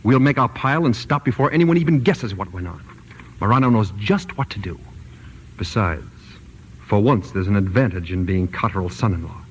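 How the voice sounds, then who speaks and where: An actor playing a scheming, impatient young executive in an old-time radio drama, domineering and persuasive in manner. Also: male voice, fairly low pitch, lively intonation, brisk, slight background noise, close to an everyday voice, low-quality recording. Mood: smug scheming.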